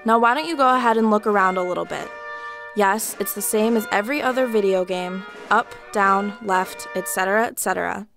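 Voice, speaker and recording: A woman's voice: mid-range voice, a little fast, vocal fry, music in the background, natural voice. A woman playing a bossy, scornful boot camp drill instructor in a comedic video game parody, mocking and barking orders. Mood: scornful, commanding.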